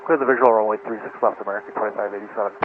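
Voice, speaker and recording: A man's voice: lowish voice, fairly even intonation, rapid-fire. A man working as an airline pilot, giving clipped readbacks to air traffic control over cockpit radio. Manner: clipped, procedural phraseology. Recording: tinny narrowband cockpit radio, faint noise.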